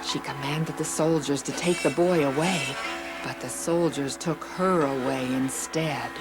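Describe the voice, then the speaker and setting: A woman narrating scripted Bible stories for children in an expressive, deliberate storytelling manner. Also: sits low, poor audio. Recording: music behind the reading. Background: background music.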